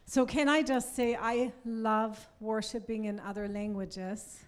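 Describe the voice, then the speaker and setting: Woman pastor, native German speaker, slightly high voice, slow simple phrases, pausing for interpreter. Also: fairly even intonation. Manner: speaks simply and deliberately for translation. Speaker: missions pastor, guest preacher. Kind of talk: sermon with pauses for interpreter.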